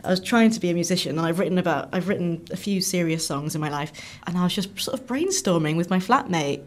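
A woman's voice: on the low side, fast. A woman in her late twenties chatting casually as an interview guest, talking about herself in a rambling, self-deprecating way. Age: late 20s. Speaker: interview guest, musical comedian. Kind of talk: casual chat.

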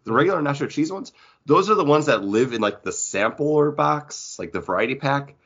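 A man's voice: medium pitch, animated, normal speed, a bit bright, slightly rough, lo-fi sound. A man chatting casually and bantering as a podcast co-host. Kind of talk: casual chat.

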